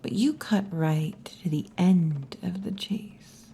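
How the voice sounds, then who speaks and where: Woman acting as tomboy wolf girl bartender, ASMR roleplay, slow breathy whispers, dark mellow tone, teasing, faint background noise. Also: fairly low pitch. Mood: relaxed, warm. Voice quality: breathy, mostly whispered or half-whispered. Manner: slow, hushed, teasing, with long pauses. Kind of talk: ASMR roleplay, intimate scripted monologue. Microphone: close ASMR microphone.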